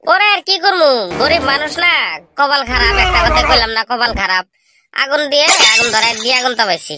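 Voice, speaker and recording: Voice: high voice, a little fast, heavy background noise, natural voice. A performer voicing a jobless, boastful young male village slacker in a comic cartoon, grumbling in a rural Bangladeshi dialect. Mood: lamenting.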